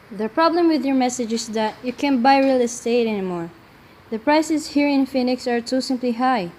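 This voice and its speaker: A woman's voice: high voice, expressive, normal speed, darkish tone. A woman playing a polite but skeptical radio interviewer, putting formal, challenging questions in a scripted student radio play. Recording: faint background noise.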